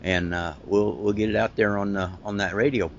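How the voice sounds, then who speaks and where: A man with an Ohio accent hosting a podcast interview over an internet call, talking in a rambling, halting, friendly way. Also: lowish voice, fairly even intonation, gravelly, dull audio, slight background noise. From Ohio, United States. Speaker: podcast host. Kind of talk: casual interview chat.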